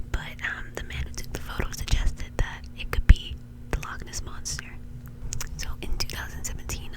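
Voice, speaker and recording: A woman whispering breathily as she hosts an ASMR video, telling a story in a casual, conversational way. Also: low-quality recording. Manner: casual, informal, conversational phrasing.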